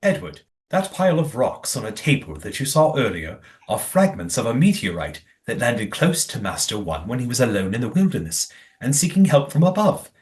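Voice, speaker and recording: A man's voice: mid-range voice, sing-song, moderate pace, close to an everyday voice. An actor playing a righteous, well-spoken man in an audio play, formal and emphatic. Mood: earnest storytelling. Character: righteous, well-spoken man guarding his community's history.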